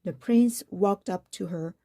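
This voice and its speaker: A woman's voice: medium pitch, unhurried, warm tone, no room sound, clean studio sound. A woman reading a children's picture book aloud as a storyteller.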